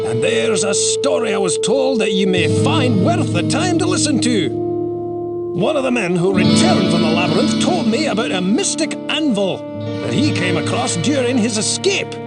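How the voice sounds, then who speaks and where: An actor playing a hearty, boastful blacksmith merchant in a video game, telling tales in an animated way. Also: male voice, high voice. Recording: loud music behind.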